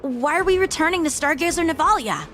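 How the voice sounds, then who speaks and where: A woman's voice: high-pitched, animated, a little fast, a bit bright, faint music behind. A woman voicing an earnest, bold young swordswoman in a video game, a forthright subordinate to her general. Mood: indignant. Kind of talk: dramatic video game voice acting.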